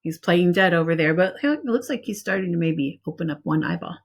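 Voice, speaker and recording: A woman reading a picture book aloud like a preschool teacher, expressive and speaking directly to young listeners with casual asides. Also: on the low side, brisk.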